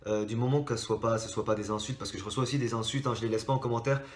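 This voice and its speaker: A man's voice: mid-range voice, fairly even intonation, rapid-fire, reverberant. French man with a stuffy, blocked nose. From France. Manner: rambling, many hesitations and restarts. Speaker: drawing channel host. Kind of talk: casual chat to subscribers.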